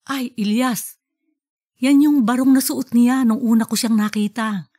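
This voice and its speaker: A woman's voice: fairly high pitch, fairly even intonation, a little slow, warm tone. A woman voicing a nagging but fond middle-aged wife in an audiobook story. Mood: admiring.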